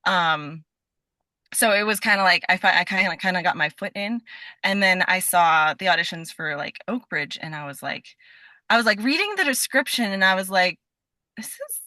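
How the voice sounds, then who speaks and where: A woman's voice: lowish voice, expressive, moderate pace, sharp tone, dry recording. A woman being interviewed as a guest, chatting casually in a scattered, enthusiastic way and peppering her sentences with "like". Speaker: guest, voice actor.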